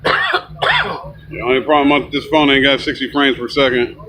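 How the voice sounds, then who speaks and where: A man's voice: medium pitch, wildly swooping pitch, moderate pace. A man chatting casually in slangy, profane language. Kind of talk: casual chat. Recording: loud vehicle and ambient noise.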